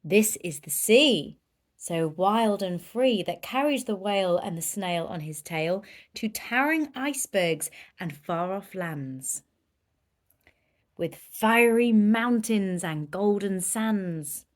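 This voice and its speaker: Female children's story reader: unhurried delivery, very animated pitch, emphatic and dramatic. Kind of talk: expressive children's picture book read-aloud.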